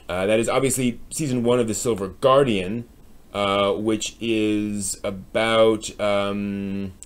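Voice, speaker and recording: An American man with a fairly low voice hosts a live stream news segment. His delivery is casual and unscripted, with a hesitant, halting flow as he thinks aloud. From United States. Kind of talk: casual anime news rundown.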